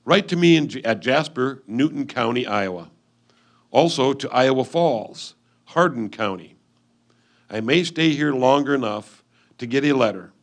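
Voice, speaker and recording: Guy acting a lonely pioneer husband reading his letter out loud, earnest and measured, pauses for drama, mid pitch. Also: natural voice. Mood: tender, earnest. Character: lonely 1850s frontier husband writing home to his wife. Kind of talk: reader's theatre letter reading.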